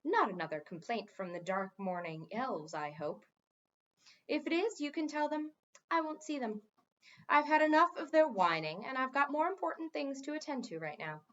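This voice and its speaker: A woman's voice: high-pitched, lively intonation, normal speed, fairly bright tone, highs cut off. A woman voicing a blunt, informal king in a storybook, plain-spoken, wry and impatient. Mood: exasperated.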